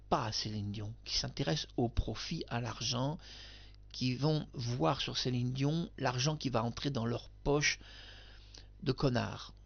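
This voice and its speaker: A man's voice: medium pitch, gravelly, lo-fi sound. A man giving rambling spoken commentary, emphatic and repetitive, talking straight to the singer he discusses. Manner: emphatic, addresses the singer directly, repeats himself. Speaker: video commentator.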